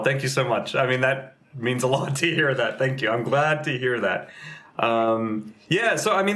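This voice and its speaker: A man's voice: mid-range voice, a bit bright. Young guy chatting away, lots of ums and you-knows, easygoing interview talk. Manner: rambling, lots of fillers and restarts. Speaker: game community manager and streamer. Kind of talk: casual interview chat.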